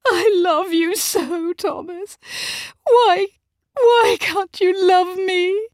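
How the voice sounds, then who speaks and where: Storybook aristocratic wife played by a woman: high, trembling, overwrought, emphatic. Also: drawn-out words, darkish tone, no room sound, clean studio sound, heavily acted. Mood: keening despair. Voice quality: trembling, shrill. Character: anguished aristocratic mother, outraged and pleading wife. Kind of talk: audiobook character acting.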